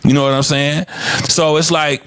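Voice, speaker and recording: A man's voice: a little high, level tone, normal speed, a bit bright, clear, resonant tone, no echo. A man in his fifties, talking in a blunt, profane, frank way as a co-host of a casual podcast chat. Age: 50s.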